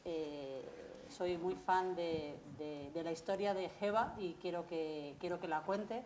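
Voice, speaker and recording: A woman moderator leads a casual festival panel chat, eager and talkative, stumbling over her restarts. Her voice sits a little low. The echoey room carries a faint hum of background noise. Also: slow, darkish tone. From Madrid. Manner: chatty, enthusiastic, many restarts. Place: festival stage.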